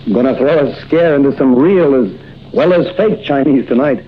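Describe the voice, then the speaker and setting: An actor playing a confident masked crimefighter in a 1940s radio drama, on a muffled old recording with faint hiss. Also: male voice, medium pitch, moderate pace, close to an everyday voice. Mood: assured. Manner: bold, heroic declarations.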